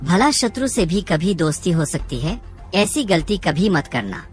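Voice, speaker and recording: A woman's voice: fairly low pitch, big pitch swings, a little slow, faint music behind. A woman voicing a friendly, loyal male crow in a children's cartoon fable, speaking earnestly. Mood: cautioning.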